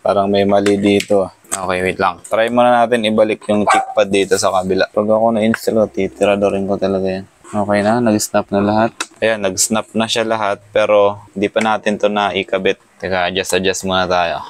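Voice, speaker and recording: A man's voice: fairly low pitch, level tone, a little fast, a little hiss or hum. A Filipino man giving a casual hands-on installation walkthrough, thinking aloud as he works. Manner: thinking aloud while working with his hands. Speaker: motorcycle gear vlogger.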